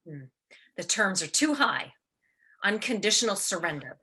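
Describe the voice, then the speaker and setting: A woman's voice: mid-range voice, very animated, normal speed, bright, forceful tone, breathy edge, exaggerated voice, low-quality recording. A woman playing a proud, paranoid, wounded leading actress in a radio play, with theatrical, sarcastic and emphatic delivery. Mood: wounded pride. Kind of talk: radio play acting of classic film dialogue.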